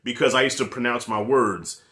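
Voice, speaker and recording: A man's voice: mid-range voice, moderate pace. A man in his thirties with a New York accent, reviewing a show on camera from his living room. He rants in a casual, loose way, swearing freely and talking with his hands. He speaks into a desk mic set up right in front of him. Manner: profane, animated, talks with his hands. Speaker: movie reviewer.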